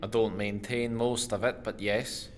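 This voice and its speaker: An actor playing a bitter, blunt ex-army farmer with debts and a taste for drink in a fantasy audio drama. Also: male voice, on the low side, fairly even intonation, unhurried, lightly acted. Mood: curt. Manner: blunt, curt, grudging.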